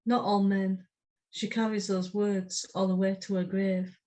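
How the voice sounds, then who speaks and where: A woman's voice: medium pitch, flat delivery, unhurried, a little mellow. A woman, speaking plainly as a podcast co-host who chats and reads her poetry. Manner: brief, plain-spoken. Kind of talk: casual podcast chat and poetry reading.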